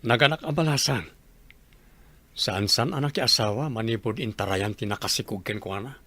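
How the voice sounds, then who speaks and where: A man's voice: on the low side, normal speed, sharp tone. A man with an Ilocano accent playing an earnest middle-aged father in a radio drama. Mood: earnest, tentative.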